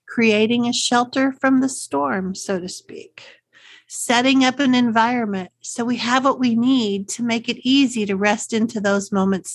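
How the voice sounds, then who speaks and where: A woman's voice: mid-range voice, no room sound. A middle-aged woman with a Texas accent hosts a solo podcast, talking in a friendly, chatty way and often asking rhetorical questions. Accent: Texan. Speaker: podcast host. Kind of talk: scripted solo podcast talk.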